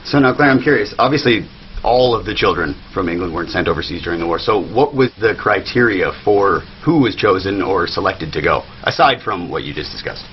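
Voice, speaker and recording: A man's voice: sits low, expressive, fairly quick, a little hiss or hum. A young adult man hosting a radio interview in a casual, conversational manner. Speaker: radio host. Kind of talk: radio show introduction and interview. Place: campus radio station. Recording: dull, muffled radio audio.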